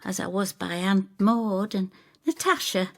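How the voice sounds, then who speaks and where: A woman's voice: mid-range voice, unhurried, a bit bright. A woman voicing an anxious, bitter, plaintive female neighbour of nearly seventy in an audio story. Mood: wistful. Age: older. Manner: plaintive, demanding.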